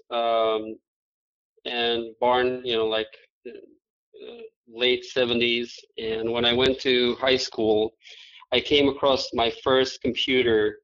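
A man in his forties from Albania with an Albanian accent, answering interview questions in a measured, explanatory way with frequent fillers. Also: medium pitch, a bit bright. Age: 40s. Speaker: IT manager at a manufacturing firm. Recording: dull lo-fi audio.

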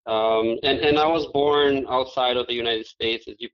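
Albanian-accented man in his forties, flat delivery. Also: mid-range voice. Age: 40s. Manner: measured, explanatory, frequent "uh" fillers. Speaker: IT manager at a manufacturing firm. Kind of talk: interview answers. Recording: dull lo-fi audio.

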